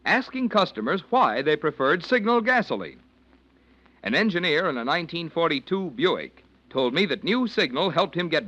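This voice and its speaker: A man with an American accent as a radio announcer, delivering a polished, emphatic 1940s sponsor pitch. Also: bright, forceful tone. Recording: dull old 1940s radio recording.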